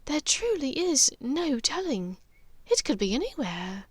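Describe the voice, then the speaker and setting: A woman voicing a refined, anxious older aristocratic witch mother in an audiobook story, breathy, careful and gently firm. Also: high-pitched, big pitch swings, unhurried, dry recording, voice pushed up. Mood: thoughtful.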